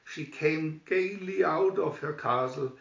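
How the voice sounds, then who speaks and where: A man reading a children's story aloud in an expressive, theatrical manner, as a scripted narration. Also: a little high, slow pace, vocal fry. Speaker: storybook reader. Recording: echoey room, distant dull microphone.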